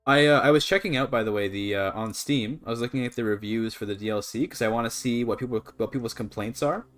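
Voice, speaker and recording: A man's voice: medium pitch, fast talker, fairly bright tone, faint music behind. A Canadian man chatting casually to his livestream audience, rambling and apologetic as he goes. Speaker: live streamer. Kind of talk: casual gaming livestream chat.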